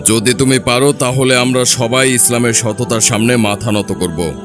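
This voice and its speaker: A man's voice: medium pitch, steady tone, moderate pace, over music, lightly acted. A man playing an earnest king in a cartoon, speaking in a formal way. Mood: eager, solemn. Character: astonished, earnest king questioning a hero. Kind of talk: dramatic cartoon dialogue.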